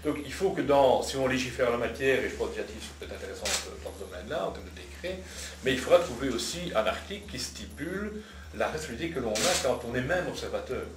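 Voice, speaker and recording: Male debater, politician, long-winded and argumentative, mid-pitched, in an echoing room. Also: animated, fast talker. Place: cafeteria. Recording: roomy, distant mic, noisy with clicks.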